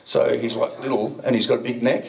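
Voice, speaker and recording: A man's voice: mid-range voice, flat delivery, fairly quick, gravelly, a little mellow, rough recording. A middle-aged man giving a joking, self-mocking talk at a meetup, speaking into a handheld mic in a dim event room. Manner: cheeky, self-mocking, banters with the audience. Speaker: presenter at a blockchain meetup.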